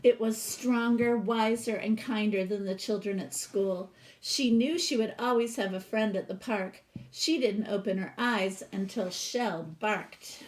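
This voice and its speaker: A woman reading a children's picture book aloud like a teacher, animated and stopping for asides and questions to young listeners. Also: medium pitch, a little slow, hardly any pauses, rough recording. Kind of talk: expressive storybook read-aloud with asides to children.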